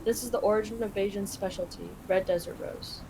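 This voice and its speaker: A young woman reads and translates a game aloud in a casual, informal way. Her voice sits at a middling pitch. There is clear background noise on the recording. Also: fairly even intonation, fairly quick. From Canada. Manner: informal, swearing, paraphrasing. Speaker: live translator on a game play-through. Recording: clearly noisy home recording.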